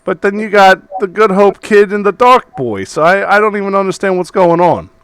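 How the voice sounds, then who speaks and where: Man doing a brash bookshop owner, high voice, blustery, salesy, touchy. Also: a bit bright, clean tone, no room sound. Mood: needling. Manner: blustery, salesy, quick to take offense. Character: pushy male bookstore owner, brash and defensive.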